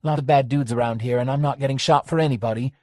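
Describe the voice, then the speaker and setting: A man's voice: mid-range voice, steady tone, quick pace, warm tone, no echo, studio quality, pitched above the performer's own voice, lightly acted. A man doing a curt, tough streetwise woman. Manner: curt, dismissive.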